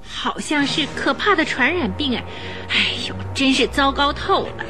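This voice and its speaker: Radio play casting: fretful, chatty housekeeper, high voice, sighing and exclaiming, music underneath. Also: female voice, lively intonation, normal speed, rough recording. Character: anxious, flustered, talkative housekeeper woman. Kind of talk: radio drama acting.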